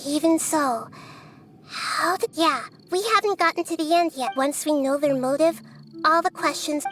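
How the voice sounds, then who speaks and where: A woman playing a small, wise and gentle girl deity in an anime video game, composed and earnest as she explains things. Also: high-pitched, normal speed. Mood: calm, explaining. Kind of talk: English-dubbed anime video game acting.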